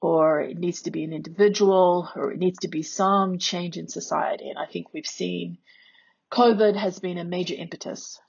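A woman speaking conversationally as an expert commentator, reflecting on a podcast interview, with a husky, creaky voice. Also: lowish voice, fairly even intonation, normal speed, a little mellow, highs cut off.

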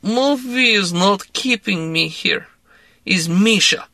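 A man reading an audiobook voices a drunk young Russian actress with a Russian accent, speaking slow broken English in a dramatic, moody way. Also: high-pitched, vocal fry, sharp tone, no echo, voice pushed up, full character voice. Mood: bitter. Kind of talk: audiobook character voice.

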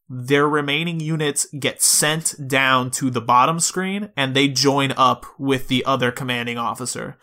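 Man, podcast host, bright forceful voice, mid pitch, casual gaming discussion, step-by-step explanations. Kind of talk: casual video game discussion.

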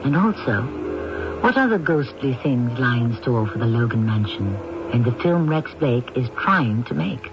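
Radio mystery host, measured man, dull old broadcast sound. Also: mid-range voice, expressive, over music. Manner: measured, ominous. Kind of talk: scripted narration.

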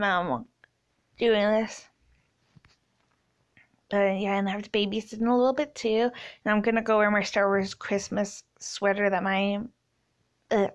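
A young woman from Canada talks loosely through a solo podcast intro, filling pauses with ums and likes. Her voice sits at an ordinary middle pitch for a woman. She keeps it casual and unscripted. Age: 20s. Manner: rambling, many ums and likes. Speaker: podcast host.

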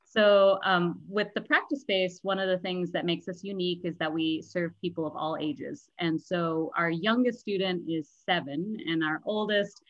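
A woman in her thirties from the San Francisco Bay Area speaks as a guest, clear and articulate, with easy laughter. Her voice sits fairly low. The recording is dry and a little muffled. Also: fairly even intonation, moderate pace, few pauses, dry recording. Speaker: nonprofit founder, guest. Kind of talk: interview answers. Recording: dry, dull lo-fi audio.

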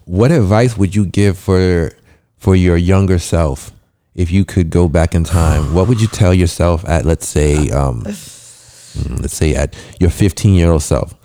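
A man's voice: deep voice, unhurried, dark tone. A man interviewing a guest, asking probing questions and giving brief affirmations.

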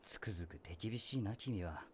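An actor voicing a weary male high-school teacher who talks in a dry, wry, world-weary way. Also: normal speed, slight background noise, natural voice. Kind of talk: voice drama acting.

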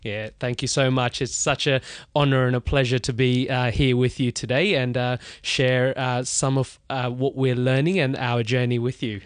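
A man's voice: medium pitch, little pitch movement, normal speed, no room sound, clean studio sound. A man from Kenya and Australia chatting as a podcast guest, polite and a little hesitant. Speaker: podcast guest, business founder. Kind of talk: casual conversational interview.

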